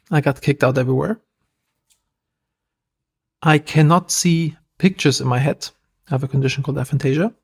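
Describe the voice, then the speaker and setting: A man with a German accent, a podcast guest telling stories in a lively, self-deprecating way. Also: fairly high pitch. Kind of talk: casual interview talk.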